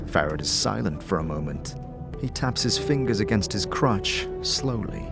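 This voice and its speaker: A man narrating a fantasy audio drama in a measured, theatrical storytelling manner, addressing the listener directly. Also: lowish voice, expressive, creaky, over loud music.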